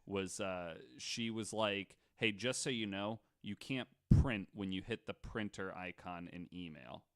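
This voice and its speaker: A man's voice: deep voice, unhurried, clear, resonant tone. A man hosting a casual podcast, telling stories from his notes in a jokey, conversational way. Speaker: podcast host. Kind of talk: casual storytelling from notes with banter.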